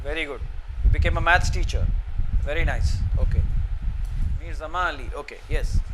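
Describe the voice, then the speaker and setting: A man's voice: on the high side, normal speed, background noise. A man with an Indian accent giving a motivational session to students in a classroom. He repeats each instruction and keeps checking in with "okay?". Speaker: motivational speaker.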